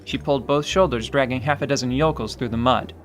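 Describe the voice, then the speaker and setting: A man's voice: medium pitch, fast talker, fairly bright tone, a little hiss or hum. Man narrating a fantasy story. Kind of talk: scripted dramatic narration. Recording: music underneath.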